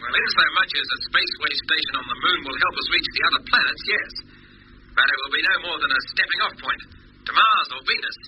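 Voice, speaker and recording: An actor playing a matter-of-fact rocket engineer on the Moon in a 1950s radio drama, on a noisy vintage recording. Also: male voice, a little high, expressive, a little fast, natural voice. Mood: sober.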